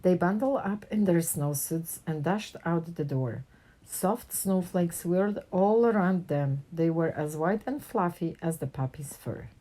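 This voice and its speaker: A woman reads a picture book aloud in an expressive storytelling style for children. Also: fairly low pitch, a little slow. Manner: animated character dialogue with plain narration.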